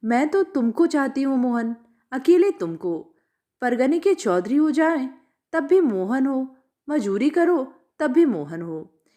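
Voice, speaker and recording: A woman's voice: high-pitched, big pitch swings, slow, mellow, full character voice. A woman voicing an affectionate, devoted young village sweetheart in a story, tender and coaxing.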